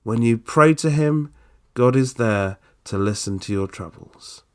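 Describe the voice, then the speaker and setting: An older man reading a scripted Bible story for children in simple, deliberate phrases with frequent pauses. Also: medium pitch, clear, resonant tone.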